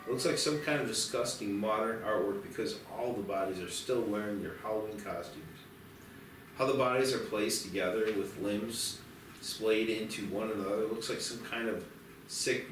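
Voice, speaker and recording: A man's voice: lowish voice, normal speed, a little hiss or hum. Very echoey male screenplay narrator. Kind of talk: scripted narration of stage directions at a table read. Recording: very echoey, distant, music behind.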